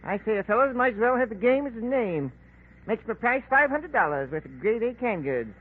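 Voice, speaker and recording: Casting: grasping old island hick, folksy and sly, swooping high voice, 1940s radio drama. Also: male voice, big pitch swings, brisk. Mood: indignant. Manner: folksy drawl, hesitant haggling. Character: crafty, grasping old backwoods island man. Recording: tinny, noisy 1940s radio recording.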